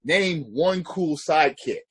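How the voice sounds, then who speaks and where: A man's voice: fairly high pitch, animated, takes it slowly, husky. A middle-aged man reviewing a movie in casual chat, laid-back, laughing often and swearing freely.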